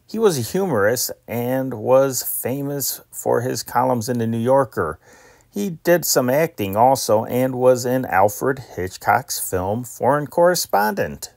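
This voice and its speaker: Male host, medium pitch, very dry close recording, casual scripted intros for vintage radio. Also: animated, takes it slowly, vocal fry, dry recording.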